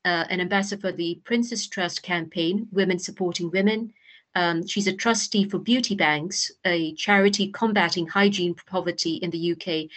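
A woman's voice: medium pitch, a bit bright. A woman host introducing a guest speaker in a formal, scripted way with occasional fillers.

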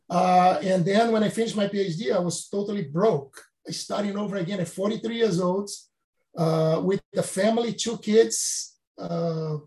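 Man in his late fifties, from Brazil, Portuguese native speaker, high gravelly voice, halting restarts, echoey room. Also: darkish tone. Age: 50s. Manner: halting, non-native phrasing with frequent restarts. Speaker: professor. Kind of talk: reflective interview answers.

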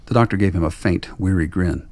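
A man's voice: low-pitched, brisk, darkish tone. A man reading scripted radio play narration in a wry, sardonic manner. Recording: faint background noise.

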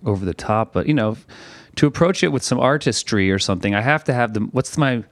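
A man, a touring songwriter, talking casually on a podcast in a relaxed, rambling way. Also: mid-range voice, fast, no room sound. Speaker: touring musician and songwriter guest.

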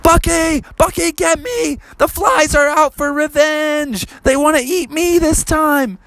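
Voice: very high voice, very animated, slow, sharp tone, dry recording, voice pushed up, heavily acted. An adult storyteller voicing a tiny shrunken male frog, frantic and squealing. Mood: excited.

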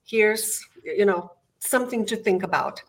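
A woman's voice: medium pitch, measured pace, poor audio. A middle-aged woman from Brazil with a Brazilian Portuguese accent, a guest in an interview telling her career story with enthusiasm from her home office. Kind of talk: interview conversation.

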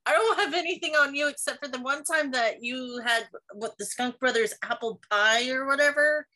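A woman co-hosting a casual chat, telling joking anecdotes in an animated way. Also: high voice, moderate pace. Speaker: podcast co-host.

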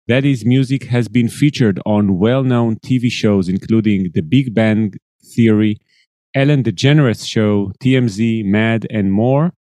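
Podcast host, male, mellow tone, dry close sound, upbeat and eager. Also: medium pitch, no echo. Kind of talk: podcast interview.

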